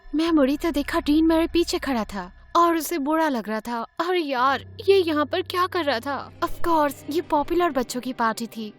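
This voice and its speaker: High-pitched earnest teenage girl. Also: expressive, normal speed. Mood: narrating, confiding. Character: teenage schoolgirl narrating her own story, awkward and earnest. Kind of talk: dramatised first-person animated story narration. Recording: music behind the voice.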